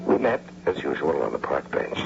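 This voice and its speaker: A man's voice: very deep voice, big pitch swings, normal speed, creaky, heavily acted. A man acting in a radio drama as a scheming, bitter husband, insistent and persuasive, repeating himself for emphasis. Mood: coolly determined. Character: scheming, bitter, persuasive husband and electrical engineer turned would-be robber. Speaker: actor narrating and playing the lead. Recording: muffled, noisy old radio broadcast.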